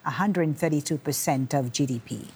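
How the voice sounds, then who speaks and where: A woman reading scripted news as an anchor, with a husky voice. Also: low-pitched, normal speed, noisy background. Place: broadcast studio.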